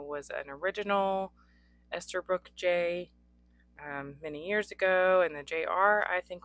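A young adult woman with a hoarse voice, hosting a casual pen unboxing with frequent ums. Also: fairly low pitch, fairly bright tone, no room sound. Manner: chatty, frequent ums, occasional coughs. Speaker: pen reviewer. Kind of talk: unboxing chat. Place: indoors at a desk. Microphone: overhead camera on desk, hands only.

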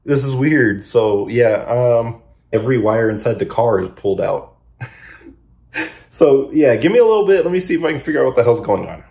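A man's voice: medium pitch, darkish tone, breathy edge. A young man narrating a DIY car vlog casually and informally, thinking aloud with mild exasperation. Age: young adult.

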